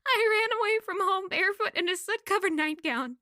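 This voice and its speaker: Young female runaway for audio drama: high voice, dry close sound, wistful, dramatic, hard on herself. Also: lively intonation, a little fast, sharp tone, dry recording, heavily acted. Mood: distraught. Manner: dramatic, anguished self-questioning. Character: self-blaming young runaway woman, wistful and highly emotional. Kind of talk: audio drama acting with reflective narration.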